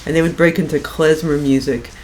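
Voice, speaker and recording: An older woman with an American accent chatting casually in an animated, opinionated way. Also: sits low, a little fast. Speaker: podcast guest. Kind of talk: casual chat. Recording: noisy room recording.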